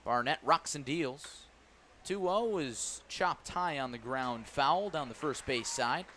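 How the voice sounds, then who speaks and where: A man calling a baseball game live on the radio as the play-by-play announcer, animated on big plays and playful with his phrasing. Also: medium pitch, takes it slowly, slight background noise. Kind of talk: live radio sports commentary. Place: live at a ballpark broadcast position.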